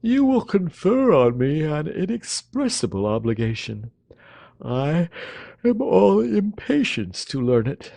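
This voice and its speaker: Idle drawling gentleman in a storybook reading, put on by a man, raised and slow, lazy. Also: on the high side, sing-song, gravelly, no room sound, voice pushed up, exaggerated voice. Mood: languid. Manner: drawling and languid. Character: languid, lazy young gentleman.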